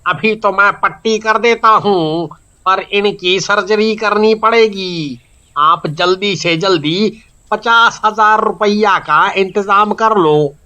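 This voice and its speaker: A piercingly bright, high male doctor in a story drama. He speaks very slowly and bluntly, with urgency. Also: exaggerated voice. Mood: concerned, urgent.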